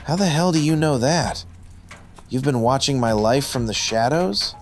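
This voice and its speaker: An actor playing a middle-aged, washed-up wrestler, bitter, defensive and self-loathing, in a noir radio drama. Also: male voice, mid-range voice, animated, moderate pace, over music, close to an everyday voice.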